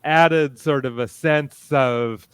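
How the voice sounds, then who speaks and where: Male panelist, unhurried and halting delivery, smooth clear tone, close dry sound. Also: medium pitch, a bit bright, no room sound. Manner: halting, pausing mid-sentence, explanatory. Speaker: convention panelist. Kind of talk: panel discussion of audio dramas.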